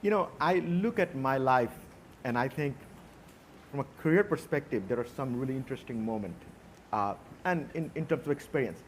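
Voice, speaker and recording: A man with a Bangladeshi accent talks as a conference panelist. His voice sits at a middle pitch, and he reflects through personal anecdotes. There is light noise behind him. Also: expressive, normal speed.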